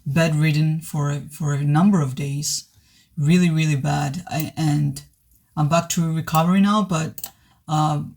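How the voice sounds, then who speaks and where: Male reviewer, pitch a bit high, voice worn from sickness, sincere and stop-start. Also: steady tone, measured pace, vocal fry, a little mellow. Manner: hesitant, many restarts, earnest. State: voice worn from recent illness. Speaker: movie reviewer. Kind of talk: casual spoken film review.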